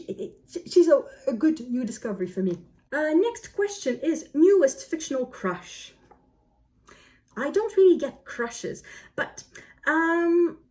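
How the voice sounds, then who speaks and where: Woman chatting casually, halting and self-correcting. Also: medium pitch, mellow. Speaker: book vlogger. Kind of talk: casual book chat to camera.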